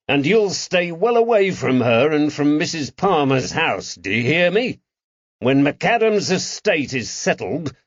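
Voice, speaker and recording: Male narrator as gruff police constable, furious, accusing, animated, stern, slightly dull close dry recording. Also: a little high, no room sound, pitched above the performer's own voice. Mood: sharp, angry. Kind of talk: audiobook character acting.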